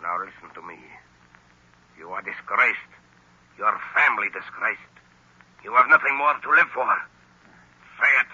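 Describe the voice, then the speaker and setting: An actor playing a formal, imperious hypnotist professor, a scheming villain in a 1930s radio drama. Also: male voice, lowish voice, expressive, a little slow, long pauses, pushed and bright, breathy edge, no echo, exaggerated voice. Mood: hypnotic menace. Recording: muffled old 1930s radio recording.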